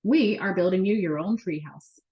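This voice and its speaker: An easygoing storybook dad, voiced by a woman reader, kindly and encouraging. He talks to his young son with relaxed affection. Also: medium pitch, moderate pace, highs cut off, natural voice. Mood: announcing. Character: easygoing, kindly young father of a little boy.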